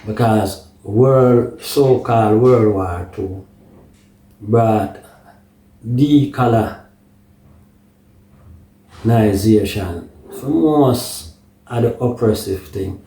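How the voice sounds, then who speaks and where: A man with a Jamaican accent giving an unscripted political monologue in patois-tinged phrasing, deliberate and halting, often checking that the listener follows. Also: on the low side, warm tone. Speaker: political commentator. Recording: echoey room, distant microphone.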